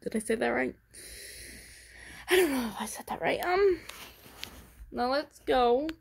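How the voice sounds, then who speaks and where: A woman giving a casual, halting show-and-tell at home into a handheld phone, often restarting her phrases. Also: sits high, animated, creaky, husky. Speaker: amateur video creator and character voicer. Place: room at home.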